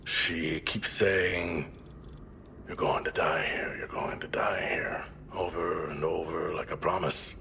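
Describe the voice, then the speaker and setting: Deep, slow, troubled male colonist. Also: little pitch movement, vocal fry, pushed and bright, a little hiss or hum, full character voice. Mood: haunted, uneasy. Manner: halting, reluctant. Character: troubled, guarded male space colonist in therapy. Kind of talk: dramatic game audio log.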